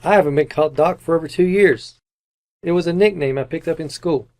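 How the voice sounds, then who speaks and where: A man's voice: a little high, fairly quick, darkish tone, lightly acted. A man playing an earnest, anxious husband under suspicion in an old-time radio mystery, speaking in a stiffly theatrical way. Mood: defensive, pleading. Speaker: amateur radio actor.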